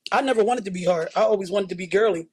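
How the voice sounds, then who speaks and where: A woman in her thirties from West Philadelphia talks in a raspy voice with a blunt, hardened edge. She speaks candidly as an interview guest, seated on a sofa in a small indoor studio. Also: mid-range voice, racing, a little mellow. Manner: blunt, tough, street-worn storytelling.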